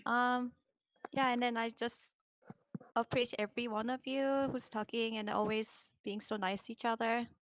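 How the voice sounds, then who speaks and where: A soft-spoken woman with a Korean accent gently encourages newcomers in a voice chat. Her voice is a little high and recorded dry. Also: fairly even intonation, normal speed, dry recording. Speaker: community moderator. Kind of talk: casual remarks on a voice chat.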